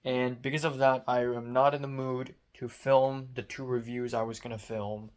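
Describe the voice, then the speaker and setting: A man's voice: mid-range voice, level tone, normal speed, clear, resonant tone. A young man from Hong Kong speaking in a casual, late-night diary vlog. His throat is sore and strained, and he rants with sarcasm, swearing and self-mockery. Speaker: vlogger.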